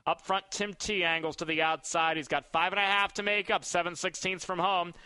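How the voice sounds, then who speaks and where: A man calling a harness race, with his delivery rapid and building in excitement. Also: high voice, clear, resonant tone, dry recording. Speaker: race caller. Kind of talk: live race call.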